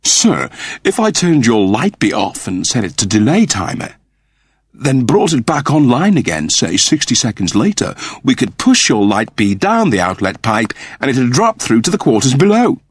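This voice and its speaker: A man's voice: medium pitch, very animated, normal speed, husky, no room sound, pitched above the performer's own voice. A man voicing a polite, anxious robot servant, deferential and formal, in an audiobook story. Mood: persuasive. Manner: deferential, formal, precise wording.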